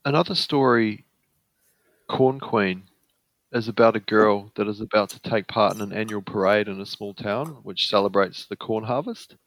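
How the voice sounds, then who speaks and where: A man hosts the interview, his voice a bit lower than most and gravelly at the edges. He reads out his prepared questions in a measured way, recorded dry with no room sound. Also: fairly bright tone, dry recording, poor audio.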